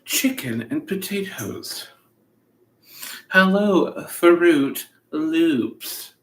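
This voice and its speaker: A man's voice: on the high side, expressive, drawn-out words. A man hosting a cooking livestream, rambling casually with playful, silly asides. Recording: echoey room.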